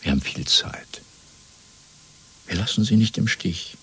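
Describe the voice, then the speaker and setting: A man playing a cold, fanatical party interrogator in a German radio drama, lecturing deliberately and domineeringly with a husky, breathy voice. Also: low-pitched, animated, full character voice, poor audio. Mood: cold, menacing. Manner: didactic, deliberate, swelling from hushed to emphatic.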